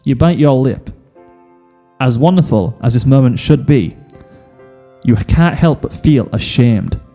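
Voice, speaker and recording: A man narrates a scripted fan fiction story in the second person. His delivery is slow and his tone mellow. Music plays under the reverberant voice. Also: mid-range voice, expressive.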